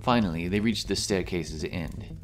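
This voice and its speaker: A man's voice: low-pitched, moderate pace, music in the background. A man narrating a scripted fantasy adventure story as the narrator of an audio drama.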